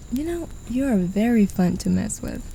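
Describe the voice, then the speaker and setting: A woman voicing a mocking, gravelly demon. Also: on the high side, dark tone, a little hiss or hum, heavily acted, rough recording. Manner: condescending, teasing, often whispering. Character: possessive, mocking female demon claiming a human. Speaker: voice actor. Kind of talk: ASMR roleplay.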